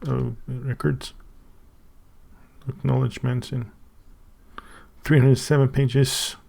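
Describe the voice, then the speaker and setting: Man, Swedish native speaker, very gravelly voice, halting, casual unboxing talk. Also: mid-range voice, level tone, darkish tone. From Sweden. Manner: halting, thinking aloud with many restarts. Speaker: channel host.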